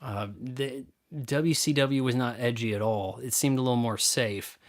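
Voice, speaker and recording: A man's voice: mid-range voice, normal speed. A man hosting a live stream, casually answering viewer questions in a blunt, opinionated, rambling way.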